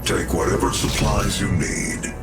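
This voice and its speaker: An actor playing a calm, authoritative cyborg scientist in a video game, speaking in a gravelly, measured, formal way. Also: male voice, lowish voice, far from the mic, over loud music, close to an everyday voice. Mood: calm, explanatory. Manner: measured, formal, deliberate delivery. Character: calm, authoritative male cyborg scientist leading a research facility. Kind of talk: scripted video game cutscene acting.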